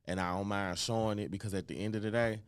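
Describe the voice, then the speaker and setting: A man's voice: fairly low pitch, fairly even intonation, quick pace, clear, resonant tone, no echo. A man, a rapper, being interviewed in a radio studio, talking emphatically and confidently. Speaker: rapper and guest. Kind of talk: casual interview.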